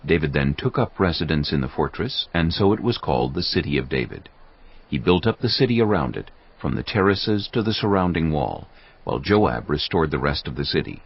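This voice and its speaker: A man with a gravelly, husky voice giving solemn, measured scripted narration of a dramatized Bible. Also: rumbling low, lively intonation, muffled recording.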